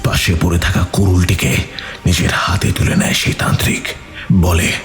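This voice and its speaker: A man narrating a scripted horror story in a dramatic, breathy, suspenseful manner. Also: sits low, little pitch movement, normal speed. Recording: music under the voice.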